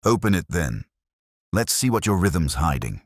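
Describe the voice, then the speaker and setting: Man voicing a blunt, deep police inspector. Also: very animated, normal speed, dry recording, studio quality. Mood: gruff, sceptical. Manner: blunt, grudging. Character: gruff, sceptical male police inspector.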